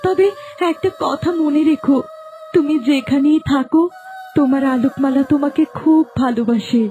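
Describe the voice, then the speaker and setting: A woman's voice: sits high, moderate pace, dark tone, lightly acted. A woman playing a devoted, heartbroken young woman in a melodramatic cartoon, with a plaintive, dramatic delivery. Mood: grieving. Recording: faint music under the voice.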